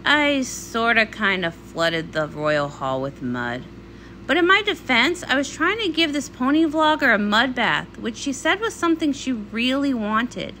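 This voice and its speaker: A woman's voice: fairly high pitch, sing-song, measured pace, pushed and bright, a little hiss or hum, heavily acted, poor audio. A woman voicing a young female pony pop star, dramatic, defensive and a little whiny, in a comic read-along. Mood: sheepish.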